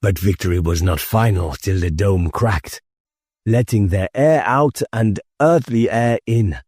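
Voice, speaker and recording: Man voicing commanding male rebel leader, grave, formal, deliberate speech, husky mellow voice, dry audiobook recording. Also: on the low side, dry recording. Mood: resolute. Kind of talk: audiobook character oratory.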